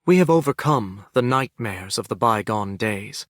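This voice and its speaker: An actor playing a calm scholarly wanderer in a video game, speaking in a measured, formal, quietly hopeful way. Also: male voice, fairly low pitch, no echo, studio quality. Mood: solemn hope. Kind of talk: game character voice acting.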